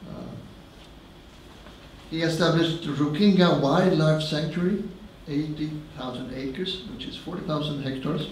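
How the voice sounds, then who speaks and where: A man's voice: fairly high pitch, measured pace, far from the mic. Man, event moderator, joking intro, very echoey room, faint hum. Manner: informal and joking. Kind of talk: introducing a speaker. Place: large conference hall. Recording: echoey hall, voice from afar.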